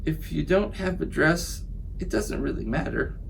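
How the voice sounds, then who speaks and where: A bored, unimpressed young storybook heroine, voiced by a man reading aloud. He lifts his voice well above his normal range. She sounds flat and done with the whole thing. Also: on the high side, measured pace, creaky, a little hiss or hum, pitched above the performer's own voice, exaggerated voice. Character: fed-up young woman, Cinderella.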